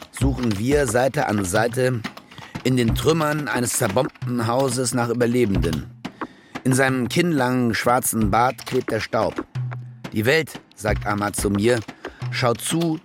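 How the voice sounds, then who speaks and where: A man's voice: medium pitch, close to an everyday voice. An actor playing a jaded male war reporter who confesses his fraud in sardonic, laconic radio drama narration. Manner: sardonic, laconic, crude slang. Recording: music behind the voice.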